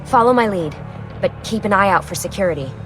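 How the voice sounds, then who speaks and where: A woman's voice: medium pitch, animated, quick pace, natural voice. A young woman acting a terse, commanding escape leader in a video game cutscene. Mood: tense, focused. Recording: music behind the voice.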